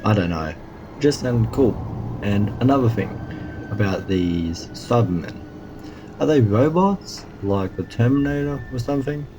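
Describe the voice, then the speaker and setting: A man playing a flippant, loyal young adventurer in an amateur sci-fi audio drama, speaking informally and excitably. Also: medium pitch, a little slow, background noise, natural voice. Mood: flippant, curious.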